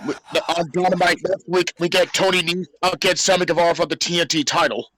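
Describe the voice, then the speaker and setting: A man with a bright, fairly high voice co-hosts a wrestling podcast. His tone is sharp and his delivery is casual and conversational. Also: steady tone, quick pace. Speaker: podcast co-host. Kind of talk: casual chat about pro wrestling.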